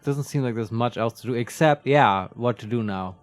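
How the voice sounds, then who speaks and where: A man's voice: mid-range voice, normal speed. A man chatting casually and jokily as he co-hosts a video game playthrough, thinking aloud. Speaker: let's play co-host.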